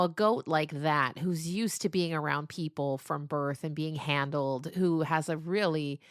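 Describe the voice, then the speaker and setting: Bright-voiced woman storyteller, fairly low pitch. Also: no room sound, clean studio sound. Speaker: novelist being interviewed. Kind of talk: casual interview storytelling.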